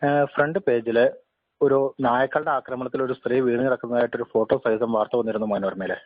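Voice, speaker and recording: Male caller, polite but insistent complainant. Very quick delivery, thin telephone sound. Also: mid-range voice, fairly even intonation, dry recording. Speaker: temple head priest, complainant caller. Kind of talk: formal phone complaint. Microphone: telephone call. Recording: tinny narrow phone line.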